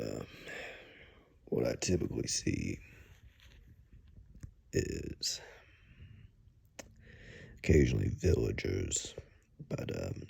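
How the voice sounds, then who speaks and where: A man playing a gruff, wry forest ranger and monster hunter in an ASMR roleplay, speaking in a hushed, halting way with dry humor. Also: slight background noise, full character voice. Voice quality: very deep, gravelly, husky. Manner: slow, halting, hushed, dryly joking. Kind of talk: ASMR roleplay, one-sided conversation.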